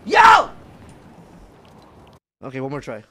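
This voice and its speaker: A man's voice: on the high side, wildly swooping pitch, takes it slowly, sharp tone, raised voice. A man streaming video games, chatting casually with a slangy, playfully pleading manner and some swearing. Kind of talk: casual gaming chat. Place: indoor room at home.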